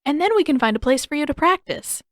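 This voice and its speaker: A gentle young boy, played by a woman reader, speaks up earnestly as the friendly peacemaker. The voice is high and upbeat, recorded dry for a storybook. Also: lively intonation, fast, no echo.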